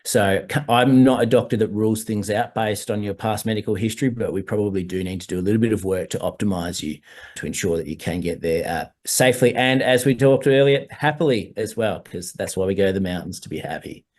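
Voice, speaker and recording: A hoarse man, a doctor explaining altitude medical issues in a measured way during a webinar over a video call. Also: lowish voice, darkish tone. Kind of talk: webinar presentation.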